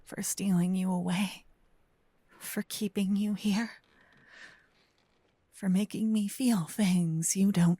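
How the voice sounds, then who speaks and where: Woman acting a breathy, slow, whispering vampire captor. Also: mid-range voice, mellow, dry recording. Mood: teasing, intense. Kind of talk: ASMR roleplay. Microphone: close binaural microphone.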